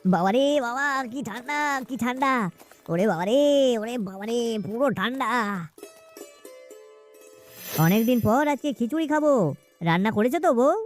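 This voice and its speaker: A woman's voice: on the high side, expressive, fairly quick, faint music behind, natural voice. A woman voicing a poor, scheming village husband in a cartoon story, with colloquial, exclaiming, grumbling speech. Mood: shivering, complaining.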